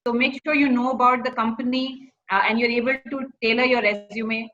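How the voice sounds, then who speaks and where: Indian lady giving career advice, bright highish voice, kinda lo-fi audio. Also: fairly even intonation, fairly quick. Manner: mixes English with Hindi phrases. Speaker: corporate professional and recruiter giving career advice to students. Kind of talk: informal advice talk.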